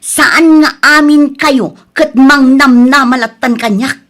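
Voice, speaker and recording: Casting: actress as frustrated, dutiful elder sister around thirty, high voice, Ilocano accent, reproachful audio-drama delivery. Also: female voice, takes it slowly, a bit bright. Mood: exasperated scolding. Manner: exasperated, reproachful.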